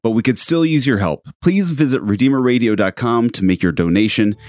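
Radio announcer over soft music. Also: male voice, mid-range voice, lively intonation, a little fast, no echo, clean studio sound. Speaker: station announcer. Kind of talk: scripted announcement.

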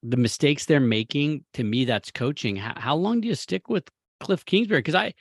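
An American man co-hosting a casual sports chat, speaking in opinionated, rambling and animated bursts. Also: a little high, moderate pace, dry recording, studio quality. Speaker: sports talk co-host.

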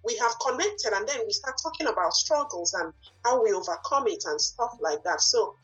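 A woman from Nigeria with a Nigerian accent, whose first language is not English, answering interview questions and often pausing and restarting to find her words. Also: on the high side, expressive, fairly bright tone, husky, muffled recording, faint music behind. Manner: searching for words, frequent fillers and restarts. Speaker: guest, lawyer and business owner.

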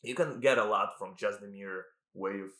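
A man explains renting in a relaxed, chatty way, laughing easily. His voice sits low but sounds bright and forward. The room around him rings with echo. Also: moderate pace. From Russia. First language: Russian. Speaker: real estate agent, guest. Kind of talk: podcast interview.

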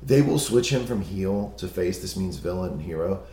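A man with a very low, hoarse and gravelly voice talks in a loose, chatty way, laughing at himself and restarting his sentences. The recording has a roomy echo around it. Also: expressive, moderate pace, mellow. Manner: chatty, self-deprecating, restarts often. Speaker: podcast co-host. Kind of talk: casual TV recap chat.

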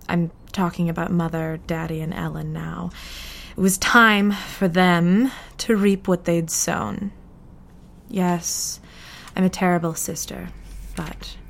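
Slow, creaky-voiced, bitter diarist. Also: female voice, on the low side, a little hiss or hum. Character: bitter, defiant female diarist, proud rebel confiding secrets. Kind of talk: dramatic diary reading in audio drama.

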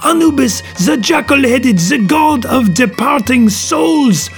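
A man voicing a fussy, solemn little foreign detective in an audiobook story, with emphatic, deliberate, theatrical phrasing. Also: high voice, creaky, soft background music, exaggerated voice. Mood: awed alarm.

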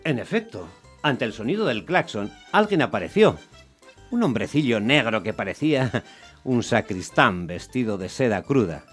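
An exasperated, luckless taxi driver narrates his tale in a radio play, acted by a man. His delivery is wry and animated, full of swings in pitch, with soft music behind. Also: normal speed. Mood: weary, narrating. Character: exasperated, unlucky Roman taxi driver telling his story. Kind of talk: dramatised first-person radio narration.

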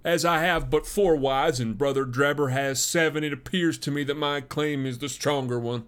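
A pompous young zealot suitor, voiced by a male reader for a storybook. He talks in a formal, sanctimonious manner with his pitch pushed up, boastful and quick to take offence. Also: fairly even intonation, fairly bright tone, smooth, lightly acted. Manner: formal, sanctimonious, self-important.

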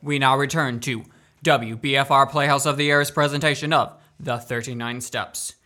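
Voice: medium pitch, steady tone, moderate pace, bright, forceful tone, natural voice. An actor playing a genial playhouse announcer in formal, theatrical 1940s radio style.

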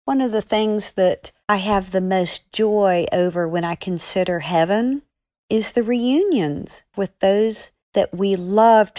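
A woman speaking as a children's author and podcast guest, encouraging young listeners with earnest, deliberate emphasis. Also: medium pitch, mellow, dry recording, clean studio sound.

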